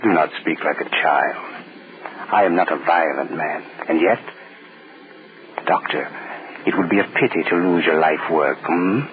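An actor playing a pompous, menacing government official in a 1930s radio drama, curt and commanding. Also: male voice, rumbling low, unhurried, long pauses, background noise. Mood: threatening, impatient. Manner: imperious, curt, barking orders. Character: pompous, menacing male state propaganda official. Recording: muffled 1930s radio recording.

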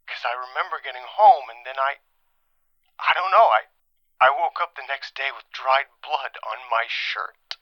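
An actor playing a rattled, defensive late-night radio caller in an audio drama, speaking haltingly and colloquially through a telephone filter. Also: male voice, medium pitch, no room sound. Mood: defensive, bewildered. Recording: muffled, narrow phone-line sound.

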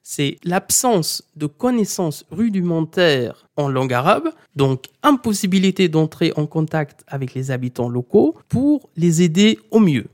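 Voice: mid-range voice, big pitch swings, unhurried, hardly any pauses, a little mellow. A language teacher giving a didactic talk, speaking straight to the learner and insisting on key points by repeating them. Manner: speaks directly to the listener as "tu". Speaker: Arabic teacher.

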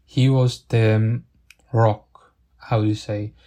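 A young man speaking English as a second language, taking his time and often stopping to hunt for the right word. His low voice stays level and even, with little rise or fall. He sounds earnest and grateful throughout. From outside the UK. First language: not English. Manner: halting, searching for words, with restarts. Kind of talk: personal thank-you monologue.

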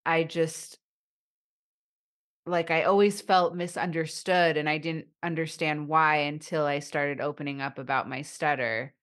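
A woman's voice: sits low, fairly even intonation, measured pace, a bit bright. A woman hosting a podcast interview who speaks with a stutter, with repeated sounds and blocks, and talks in a reflective, thoughtful way. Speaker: podcast host. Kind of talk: conversational interview.

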